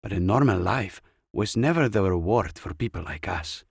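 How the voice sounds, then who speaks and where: A man's voice: low-pitched, animated, normal speed, gravelly, darkish tone, slightly rough, no room sound, studio quality. A man reading a short story as a scripted audiobook narration.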